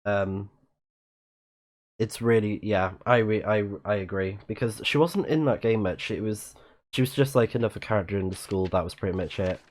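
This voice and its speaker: A man with a fairly low voice hosts a casual game livestream. He chats in loose, rambling asides as he plays, sounding slightly blocked up in the nose. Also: moderate pace. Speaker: live-streaming let's play host. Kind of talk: casual game commentary, reading in-game messages aloud.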